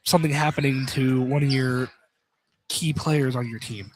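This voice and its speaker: A man's voice: mid-range voice, steady tone, moderate pace. A man calling college basketball live on the radio, chatting conversationally and repeating phrases as he goes. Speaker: basketball play-by-play commentator. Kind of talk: live radio sports commentary with casual chat. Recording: noisy live sports broadcast with clicks.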